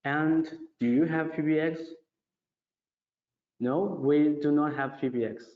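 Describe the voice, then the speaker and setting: Man, non-native English, webinar presenter, halting question-and-answer delivery, simple phrasing, muffled sound, strong room echo. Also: a little high, fairly even intonation. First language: non-native English speaker. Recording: muffled audio in an echoey, reverberant room.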